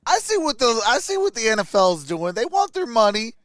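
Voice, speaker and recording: A man's voice: very high voice, sing-song, brisk, dry recording, studio quality. A man hosting a sports livestream, giving casual play-by-play and chatting with viewers as he goes. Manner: reads out and answers viewer chat. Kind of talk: casual live play-by-play and chat reactions.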